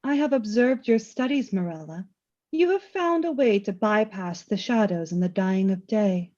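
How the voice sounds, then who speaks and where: A woman playing Death in a radio play as a calm, knowing spirit, speaking in a measured, theatrical way with a taunting edge. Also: mid-range voice, lo-fi sound, natural voice. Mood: solemn. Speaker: voice actor.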